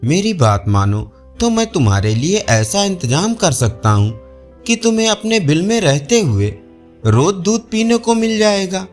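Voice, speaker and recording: A man's voice: high-pitched, sing-song, measured pace, soft background music. A man voicing a sly scheming storybook monkey. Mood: coaxing. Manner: coaxing, persuasive. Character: scheming male monkey, sly smooth persuader.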